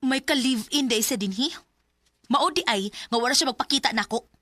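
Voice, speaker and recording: Woman doing an angry rival girlfriend, high pitched and confronting, radio drama. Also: normal speed, natural voice. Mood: indignant. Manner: indignant, confrontational.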